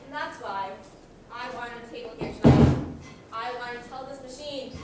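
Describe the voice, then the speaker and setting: A woman's voice: a little high, moderate pace, loud music behind the voice, natural voice. A woman on stage playing a melodramatic, guilt-ridden husband who narrates his own story in a student comedy. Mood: wry, mournful narration. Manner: theatrical, melodramatic, stammering under accusation. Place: school theater stage, recorded from audience. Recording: distant mic, echoey noisy hall.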